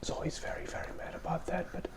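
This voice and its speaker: Whispered ASMR, breathy, from Hungary, hesitant, unhurried, casual drawing commentary, close microphone. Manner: unhurried, hesitant, musing aloud to self. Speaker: host, illustrator. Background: pen and tablet writing sounds.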